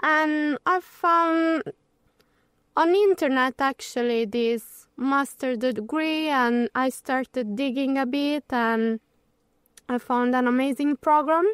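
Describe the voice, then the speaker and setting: A woman in her late twenties from Rome with an Italian accent, introducing herself as a student in a slow, unhurried way. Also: high voice, no room sound. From Rome, Italy. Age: late 20s. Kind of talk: self-introduction.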